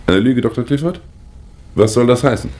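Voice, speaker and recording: A man's voice: mid-range voice, normal speed, a little mellow, natural voice. An actor playing an evasive, indignant male teacher under suspicion in a 1960s German radio crime drama, blustering and offhand.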